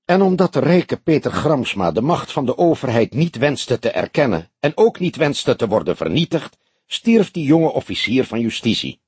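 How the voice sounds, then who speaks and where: A man playing an old grey-haired police detective in an audiobook. He probes with wry, deliberate mock innocence, his husky voice rising and falling in a lively way. It is recorded dry. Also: medium pitch, dry recording. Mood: pointed, sinister. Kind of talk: Dutch audiobook character dialogue.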